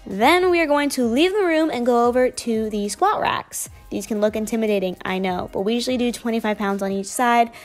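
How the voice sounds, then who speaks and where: A woman's voice: on the high side, fairly quick, few pauses, faint music behind. A young woman narrating her gym workout in a casual, upbeat voice-over. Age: young adult. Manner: casual, chatty, upbeat explaining. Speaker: fitness vlogger.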